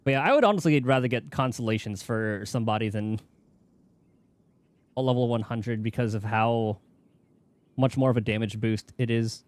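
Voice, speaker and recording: A man's voice: mid-range voice, moderate pace, pauses often, dry recording. A man chatting casually on a game livestream, rambling and thinking aloud as he goes. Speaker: game streamer. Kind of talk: casual livestream chat while gaming.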